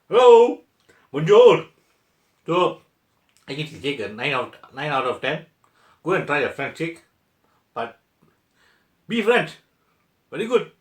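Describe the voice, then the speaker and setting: A young man, playful and loud, talking straight to his viewers. His voice sits high and swoops all over. It bounces around an echoey room. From Malaysia. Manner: playful and exclamatory, laughs often, talks to viewers. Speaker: food vlogger. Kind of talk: casual taste-test vlog.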